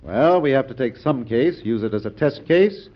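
An actor playing a calm, scheming American senator in an old-time radio drama, speaking in a measured, oratorical way. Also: male voice, mid-range voice, close to an everyday voice. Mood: confident, patient. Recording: dull, lo-fi old radio recording.

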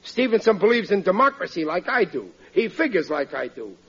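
High, husky blustering riveter. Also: male voice, very animated, fairly quick, a bit bright, heavily acted. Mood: boastful. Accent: American. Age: middle-aged. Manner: exclamatory, ungrammatical working-class speech. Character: blustering, gullible working-class riveter and family man. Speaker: comic lead actor. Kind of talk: classic American radio sitcom acting. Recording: muffled vintage radio recording.

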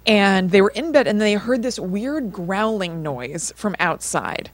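Casual female podcast co-host, mid-pitched, chatty and informal storyteller. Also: expressive, a little slow, no room sound. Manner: chatty, informal, frequent use of "like".

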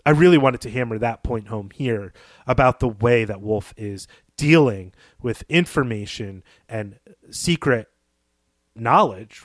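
A man's voice: mid-range voice, dry recording. A man co-hosting a podcast, analysing fiction in a thoughtful, emphatic explaining way. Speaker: podcast co-host. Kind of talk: analytical literary discussion.

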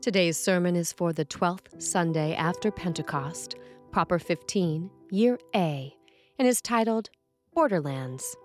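A woman priest reading a scripted sermon in a measured, earnest way with dramatic emphasis on key phrases. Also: lowish voice, soft background music.

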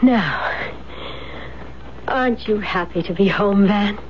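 Woman acting bossy wife in old radio play, crisp n insistent, bit muffled and hissy. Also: mid-range voice, slow, close to an everyday voice. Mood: coaxing. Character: firm, commanding wife of a returning madman.

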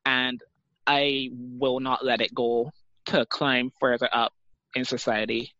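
A man's voice: mid-range voice, flat delivery, slow pace, sharp tone, no room sound. A man with a Barbadian accent, speaking earnestly in a class discussion over a video call. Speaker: student in a linguistics discussion. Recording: dry, dull lo-fi call audio.

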